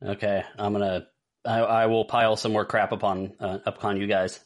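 A man's voice: fairly low pitch, flat delivery, normal speed. A man co-hosting a podcast, chatting casually and ranking things with rambling asides. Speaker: podcast co-host. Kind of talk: casual chat, film ranking.

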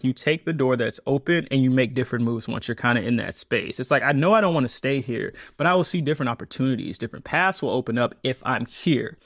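A man in his thirties talks through his story as a podcast guest, animated and quick to laugh. His voice sits at a middle pitch. The sound is dry and close, with no room around it. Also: dry recording. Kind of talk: conversational interview.

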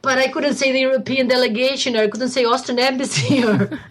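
A woman's voice: high voice, fairly even intonation, fast talker. A woman from Albania, a guest in a casual interview, who laughs a lot and often restarts her sentences.

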